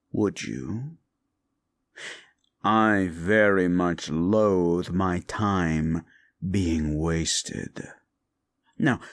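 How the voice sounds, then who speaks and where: A scornful demon, played by a man, mocks the listener in a very deep, gravelly voice. His pitch swings widely as he taunts, and the close, dry recording keeps every rasp near the ear. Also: no echo, exaggerated voice. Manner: slow, mocking and condescending. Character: scornful male demon bound by a wish contract. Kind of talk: audio roleplay.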